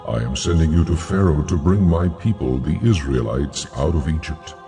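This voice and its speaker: Divine figure, very low pitch, mellow dark tone, solemn, authoritative, measured, scripture drama. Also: male voice, expressive, soft background music. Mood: grave, majestic.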